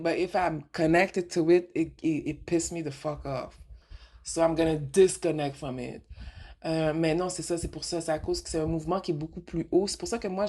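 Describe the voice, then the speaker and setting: Husky low-voiced Québécois woman host. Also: darkish tone. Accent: Québécois French. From Quebec. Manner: rambling, switches into English mid-sentence; frank, rhetorical questions. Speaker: radio show host. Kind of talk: casual monologue.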